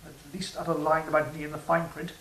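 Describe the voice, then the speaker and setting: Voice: mid-range voice, level tone, fast talker, fairly bright tone, a little hiss or hum, natural voice. An actor playing the Serpent of Eden in an audio drama, sly, sardonic and insistent, with a smug, needling manner. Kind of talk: stage-style audio drama acting. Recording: roomy, distant from the mic.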